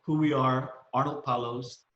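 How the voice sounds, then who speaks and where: A man in his fifties giving fatherly advice in casual podcast talk, halting and repeating phrases as he goes. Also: mid-range voice, fairly even intonation, fairly bright tone. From Philippines, moved to the US at nine, San Francisco Bay Area. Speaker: podcast host, parent of young adults. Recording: roomy echo, slightly dull sound.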